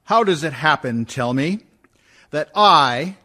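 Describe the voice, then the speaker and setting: A man's voice: fairly high pitch, animated, takes it slowly, sharp tone, exaggerated voice. A man acting a pompous dead judge, indignant and proud of his learning, in a rhetorical verse monologue for radio.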